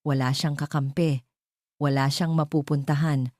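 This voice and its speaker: A woman's voice: low-pitched, steady tone, normal speed, a little mellow, no echo, clean studio sound. A woman reading a scripted dramatic story as its narrator.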